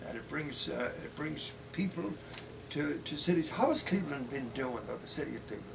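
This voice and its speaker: A man's voice: on the high side, expressive, brisk, gravelly. An American man hosting a casual interview, talking in a rambling, folksy, informal way. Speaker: interview show host. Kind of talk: casual live interview chat. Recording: distant mic, faint ambient noise.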